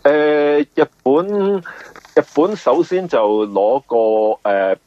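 A man's voice: mid-range voice, expressive, slow, mellow. A man with a Hong Kong accent giving casual interview answers as a novelist, explaining at length and often rephrasing. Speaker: mystery novelist, interview guest. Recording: dull lo-fi radio interview audio.

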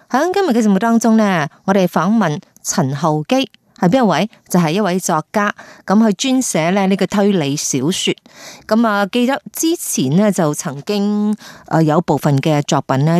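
A woman's voice: fairly low pitch, darkish tone, no room sound, studio quality. A woman presenting and interviewing as a radio programme host.

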